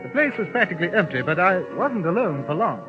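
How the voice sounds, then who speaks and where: An actor with an English accent playing a courteous, dry-witted journalist and narrator in a 1950s radio western. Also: male voice, sits high, big pitch swings, fast. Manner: courteous, formal and lightly ironic. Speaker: newspaper correspondent and narrator. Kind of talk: 1950s radio drama acting. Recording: dull old radio broadcast with faint music.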